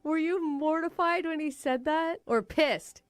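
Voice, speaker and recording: A woman's voice: high-pitched, measured pace, over a noisy crowd. A woman co-hosting morning radio banter, questioning in a blunt, incredulous manner. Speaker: radio show co-host.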